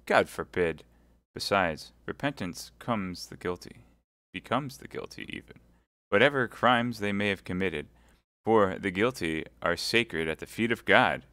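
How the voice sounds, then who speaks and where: Male reader as a solemn, upright soldier; low, measured, dry. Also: lively intonation, pushed and bright, no room sound. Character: serious devout young soldier, grave and upright.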